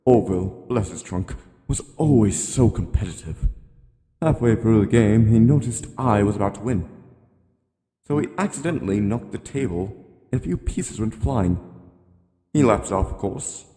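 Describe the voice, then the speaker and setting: A man voicing a genteel, rambling elderly animatronic hippo from a game, politely ominous and gently admonishing in a slow, courtly monologue. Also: lowish voice, lively intonation, close to an everyday voice. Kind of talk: scripted character monologue. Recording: long cavernous reverb.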